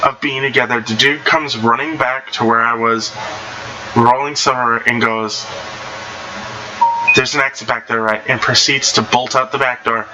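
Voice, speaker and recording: A man with a mid-pitched voice reads stories aloud in a casual, unpolished way. He stumbles and goes back over phrases. The amateur recording has plainly audible noise behind him. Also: steady tone, moderate pace, sharp tone, low-quality recording. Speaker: host reading online stories aloud. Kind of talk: casual read-aloud with off-the-cuff reactions.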